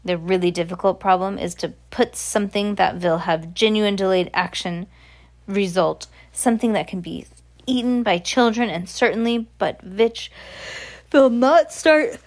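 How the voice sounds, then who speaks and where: A woman's voice: medium pitch, darkish tone, lightly acted. Woman voicing an imperious, scheming storybook witch. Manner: commanding, oddly phrased grammar. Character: imperious, scheming, vicious female Grand High Witch.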